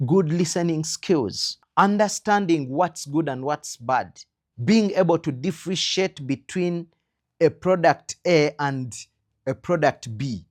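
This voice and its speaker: A man with a Ugandan accent giving an instructional talk as a trainer, earnestly advising with rhetorical questions and emphatic pauses. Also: a little high. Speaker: trainer in creative production quality control.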